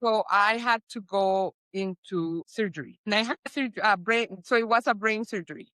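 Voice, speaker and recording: Colombian woman guest, hesitant and rambling, bright crisp voice, dry sound. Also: mid-range voice, normal speed, no room sound. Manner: rambling, hesitant, many fillers and restarts. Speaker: guest, business founder. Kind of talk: casual interview chat.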